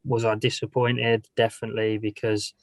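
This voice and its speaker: A man in his twenties, a football fan, giving an earnest documentary interview in quick bursts. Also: on the low side, monotone, no echo. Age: 20s.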